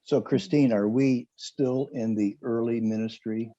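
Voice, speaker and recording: A man taking part in a Bible study discussion, speaking in a halting, deliberate way and pausing mid-phrase. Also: medium pitch, steady tone, mellow, no room sound, lo-fi sound. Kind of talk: casual group discussion.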